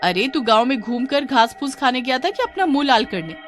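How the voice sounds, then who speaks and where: A woman voicing a sharp-tongued, nagging village wife in a horror story, full of exclamations. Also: high voice, big pitch swings, a little fast, soft background music, voice pushed up. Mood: scolding. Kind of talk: Hindi horror story character voice.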